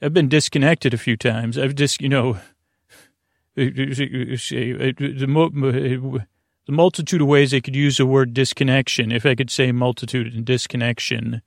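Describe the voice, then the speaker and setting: Creaky-voiced man rambling a bedtime monologue. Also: mid-range voice, fairly even intonation, no room sound, studio quality. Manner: meandering, halting, self-correcting, drifting into tangents. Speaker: podcast host. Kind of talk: rambling bedtime monologue to lull listeners to sleep.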